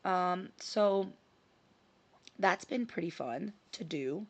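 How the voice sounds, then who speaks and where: A woman hosting her own vlog in casual chat, a little hoarse from a scratchy throat, talking with frequent ums and self-corrections. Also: lowish voice, lively intonation, slow pace. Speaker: channel host. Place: her home in Sicily.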